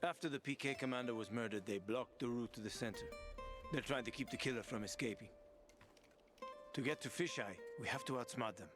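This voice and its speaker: A man's voice: medium pitch, fairly quick, natural voice. An actor playing a weary, friendly young man who talks plainly and a little crudely, over game music. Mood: earnest. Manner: friendly, coaxing, a bit crude. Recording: game soundtrack music behind.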